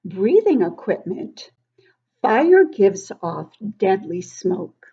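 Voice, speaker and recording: Animated woman reading slowly to young children. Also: mid-range voice, husky, muffled recording. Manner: animated, explanatory, child-directed. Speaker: read-aloud book club host for young children. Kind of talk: expressive picture-book reading with simple explanations.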